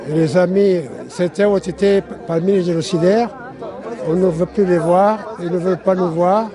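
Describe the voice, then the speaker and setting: A man with a high voice speaks in a measured, reflective way outdoors at a march. A crowd is audible all around him. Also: steady tone. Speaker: march participant interviewed. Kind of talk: street interview. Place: outdoors at a city street march.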